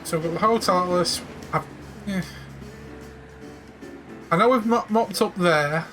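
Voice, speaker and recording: A man with a high voice chats his way through a video game. He is playful and casual, and game music runs behind him. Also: measured pace. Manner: chatty, playful. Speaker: gamer, streamer. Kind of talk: casual let's play commentary.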